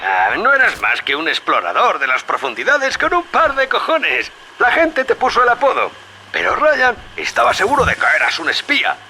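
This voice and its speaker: A man's voice: high-pitched, wildly swooping pitch, moderate pace, bright, forceful tone, slight background noise, full character voice. An actor playing a sly, scheming middle-aged businessman in a dubbed video game, wheedling and folksy. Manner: wheedling, folksy, calls the listener friend and brother. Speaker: radio guide character in a dubbed video game.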